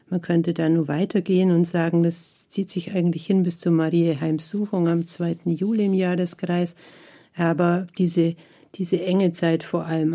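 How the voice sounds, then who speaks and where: A woman leads the interview in a formal, explanatory manner, setting out background before each question. Her voice sits somewhat low and sounds warm and mellow.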